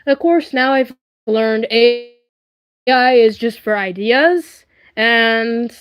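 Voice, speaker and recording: A woman's voice: fairly high pitch, slow, smooth. A woman hosting a casual livestream, talking in a rambling, earnest and emphatic way and often repeating herself. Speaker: livestream host.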